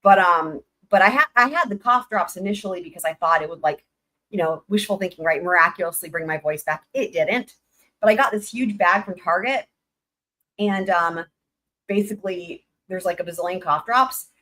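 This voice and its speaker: A woman hosting a livestream in casual chat, rambling with many fillers and greeting viewers by name with upbeat shout-outs. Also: on the low side, normal speed. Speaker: livestream host.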